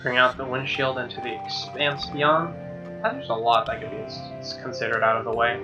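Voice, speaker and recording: A man's voice: mid-range voice, steady tone, moderate pace. A man reading visual novel narration aloud as a streamer, conversational, occasionally stumbling, with casual asides. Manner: conversational, stumbles over read lines. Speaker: let's play streamer reading game narration. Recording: muffled, distant mic. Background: guitar music.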